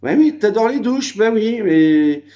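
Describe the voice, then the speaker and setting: A man's voice: high-pitched, animated, unhurried, dark tone, muffled recording. A man from France chatting casually at his country home on a phone video, rambling with many fillers. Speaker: vlogger. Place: house in the countryside.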